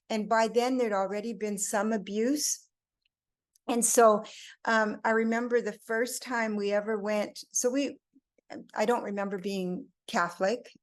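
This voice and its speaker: Older woman sharing a reflective personal testimony. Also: medium pitch. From Belgium and Italy. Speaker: group member giving a personal testimony. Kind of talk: personal faith testimony, unscripted storytelling.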